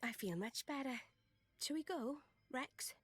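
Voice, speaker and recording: A woman's voice: high voice, lively intonation, a little slow, slightly rough, no echo, exaggerated voice. An actress voicing a heartfelt, stubborn young woman adventurer in a video game drama. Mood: sincere. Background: music.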